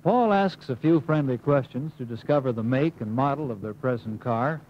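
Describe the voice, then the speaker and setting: A man's voice: medium pitch, animated, normal speed. An American man reading scripted instructional narration in an emphatic manner, as the narrator of a training film. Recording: muffled, hissy 1950s film soundtrack.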